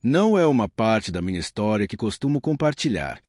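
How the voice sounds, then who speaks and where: A man reading the lines of a wealthy businessman, now humbled and reflective, speaking in a measured way. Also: lowish voice, very animated, a little mellow, no echo, clean studio sound.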